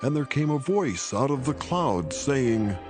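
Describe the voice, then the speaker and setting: A man narrating scripture in a solemn, measured and formal reading style. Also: medium pitch, very animated, loud music behind the voice.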